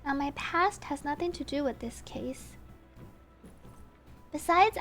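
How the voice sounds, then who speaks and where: A woman voicing a composed, dutiful female bodyguard as she reads game dialogue aloud. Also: high-pitched.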